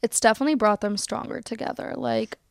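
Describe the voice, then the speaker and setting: A woman's voice: a little high, sing-song, fast, vocal fry, no echo, clean studio sound. A young woman with a chatty manner, full of likes and ums, answering interview questions. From United States. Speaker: social media account creator. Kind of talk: casual interview.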